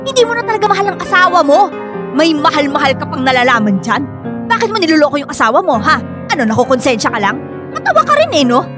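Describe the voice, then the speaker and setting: A woman's voice: sits high, very animated, fast talker, over music. A woman playing a clingy, confrontational young mistress in an emotional radio drama. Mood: hurt, accusing.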